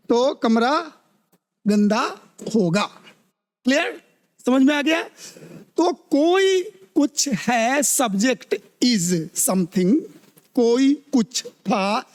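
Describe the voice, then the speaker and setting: A man's voice: very high voice, in a large hall. A man teaching spoken English in Hindi, drawing out his words and constantly asking the class whether they have understood. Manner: slow, drawn-out words, constantly checks understanding with questions.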